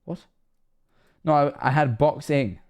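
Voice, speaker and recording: A man's voice: fairly high pitch, slow, darkish tone. A Scottish man of 21 streaming casually, rambling and self-mocking, swearing often.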